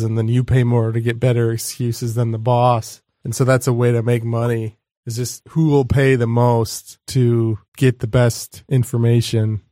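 Deadpan male podcast host, low voice, close dry mic. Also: no echo. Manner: rambling, deadpan, spins ideas to absurd extremes. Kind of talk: casual comedy podcast chat.

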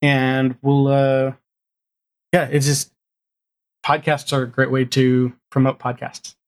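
Male podcast guest, mid-pitched, informal and rambling, self-deprecating showrunner type. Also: completely flat delivery, slow pace. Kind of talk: casual interview chat.

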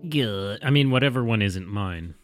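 A man's voice: on the low side, expressive, normal speed, faint music behind. A man hosting a casual comedy podcast, joking in a playful, dry way. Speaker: podcast host.

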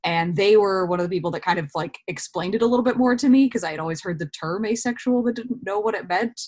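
A woman in her twenties chatting casually as an interview guest, talking in a reflective, think-out-loud way. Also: medium pitch, fast talker. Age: 20s.

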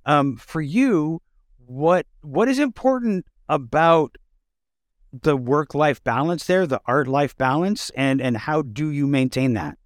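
A man hosting a casual interview, talking in halting, measured phrases. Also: on the high side, lively intonation, dry recording, clean studio sound. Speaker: interview host.

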